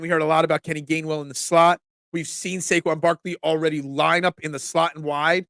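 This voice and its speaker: Congested, excitable Philly sports-show host. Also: male voice, fairly high pitch, no room sound. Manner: excitable and opinionated, with frequent restarts. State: congested, catching a cold. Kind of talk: casual live-stream sports talk, reading chat comments.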